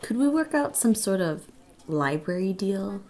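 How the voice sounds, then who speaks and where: A woman's voice: medium pitch, sing-song, measured pace, a little mellow. A woman playing an earnest, uneasy young college student drawn to the occult, acting and narrating in an audio drama. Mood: hesitant.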